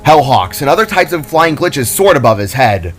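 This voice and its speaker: A man's voice: fairly high pitch, very animated, normal speed. A man giving a dramatic scripted narration of a fantasy story, as its narrator. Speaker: author and narrator. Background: soft music.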